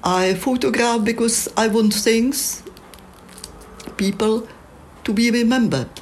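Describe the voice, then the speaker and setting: An older woman with a Czech accent, a photographer speaking in a slow, measured, thoughtful way in a prepared reflection. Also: fairly high pitch, a little hiss or hum.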